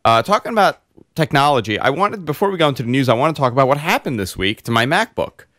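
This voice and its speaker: A man hosting a casual tech podcast, hoarse and congested, telling long rambling stories full of fillers. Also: medium pitch, fast, no room sound, clean studio sound. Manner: rambling, animated storyteller with many fillers. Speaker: host.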